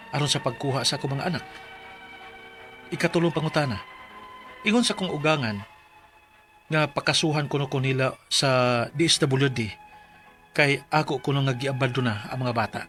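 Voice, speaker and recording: A man's voice: medium pitch, fairly bright tone, lightly acted. An actor with a Cebuano Filipino accent playing a distraught rural father who pleads for his children in a radio drama. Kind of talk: Bisaya radio drama acting and letter narration.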